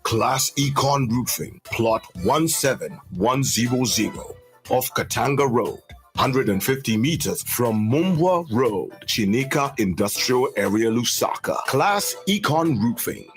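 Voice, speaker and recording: A man's voice: medium pitch, lively intonation, a little slow, faint music behind. A man reading a scripted radio advertisement as an announcer.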